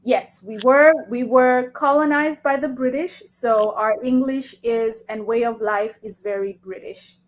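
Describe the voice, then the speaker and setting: Middle-aged Kenyan-accented woman, fairly high voice, conversational interview guest who explains with emphasis. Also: steady tone, unhurried, hardly any pauses.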